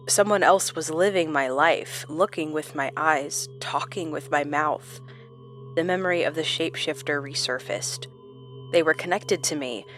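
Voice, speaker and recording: Casting: female actor for haunted, confused woman, horror audio drama. Lower-pitched, solemn, introspective, measured, light music underscore. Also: fairly bright tone. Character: troubled, confused woman haunted by fractured memories and identities.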